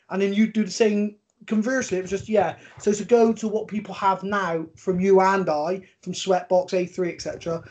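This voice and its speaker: A man's voice: high-pitched, a little mellow. A man in his thirties with an English accent, hosting a podcast as casual chat, wordy and thinking aloud. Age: 30s. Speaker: podcast host, MMA coach. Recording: dull, lo-fi sound.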